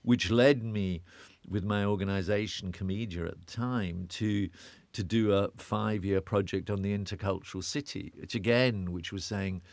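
A British man with a very deep voice talks through his ideas in a loose, reflective way, circling back and stumbling over repeated words. The recording is dry and close, with no room sound. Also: steady tone, normal speed, vocal fry, no echo. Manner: rambling, self-interrupting, repeats words when searching. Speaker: interview guest, urbanist. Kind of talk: reflective conversational interview.

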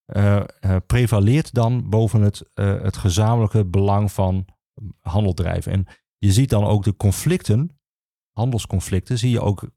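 A man the explains history informally as an expert guest, halting and repeating himself as he searches for words. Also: lowish voice, gravelly, mellow, no echo, studio quality. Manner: hesitant, halting, with many pauses and repetitions. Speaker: archivist, podcast guest.

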